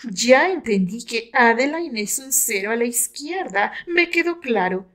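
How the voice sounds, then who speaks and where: Woman acting out a seductive, pleading high-voiced female lover. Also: expressive, unhurried, fairly bright tone. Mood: insistent desire.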